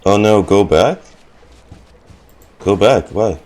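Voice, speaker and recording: A man's voice: fairly low pitch, animated, slow, a little mellow. A man giving casual, sarcastic and sweary reaction commentary as he streams a video game. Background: game music.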